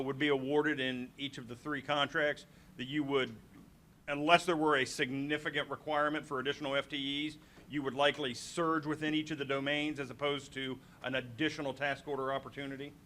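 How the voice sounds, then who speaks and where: A man from the audience puts questions to the panel in a bright, forceful voice that sits a little high. He stumbles, restarts and fills pauses as he works toward his point. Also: slight background noise. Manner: halting, restarts, many fillers. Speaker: audience member asking questions. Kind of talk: asking questions at an industry Q&A.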